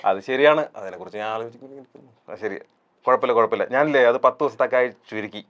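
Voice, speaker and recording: A man's voice: mid-range voice, moderate pace, fairly bright tone, dull audio. A man chatting casually as an interview guest. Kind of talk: casual chat.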